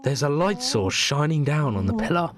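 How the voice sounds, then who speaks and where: A man narrating an improvised comic story as a podcast narrator, mock-serious and pausing for dramatic effect. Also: on the high side, big pitch swings, a loud crowd.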